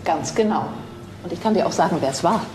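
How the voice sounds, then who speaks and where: An actress playing a self-assured forensic examiner in a crime drama, explaining with confidence. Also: female voice, lowish voice, expressive, fast talker, over music, lightly acted. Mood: pleased, explanatory. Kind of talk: crime drama acting in German.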